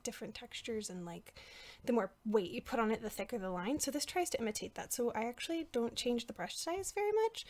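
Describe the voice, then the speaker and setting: Casting: woman with a fairly high voice, informal artist's narration, self-deprecating, conversational. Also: darkish tone. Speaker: portrait artist and voice actor for a fan manga dub. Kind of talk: casual behind-the-scenes drawing commentary.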